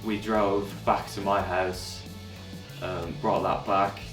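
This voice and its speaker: A twenty-two-year-old man, recounting things relaxed and hesitantly in an interview. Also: low voice, slow pace. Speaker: band's bass player. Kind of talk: documentary interview. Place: band practice room. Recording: echoey room, far from mic, music behind.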